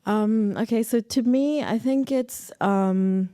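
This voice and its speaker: A woman's voice: on the high side, slow pace, mellow, no echo, clean studio sound. A woman answering as a panelist in a live discussion, laying out her points conversationally.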